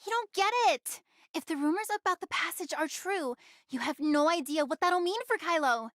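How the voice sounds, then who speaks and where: A woman's voice: very high-pitched, lively intonation, normal speed, fairly bright tone, no echo, studio quality. A woman voicing a bossy, sly young woman in an anime game, commanding and teasing in manner. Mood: serious.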